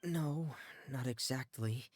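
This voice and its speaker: A man voicing a shy, thoughtful teenage boy in an anime-style video game, speaking in a gentle, hesitant and worried way. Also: mid-range voice, slow pace, a little hiss or hum, close to an everyday voice. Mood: worried, subdued.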